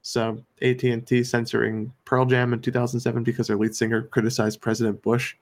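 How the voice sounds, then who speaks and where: A man's voice: medium pitch, monotone, fairly quick. American man explaining on a podcast. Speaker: podcast co-host. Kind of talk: opinionated podcast discussion.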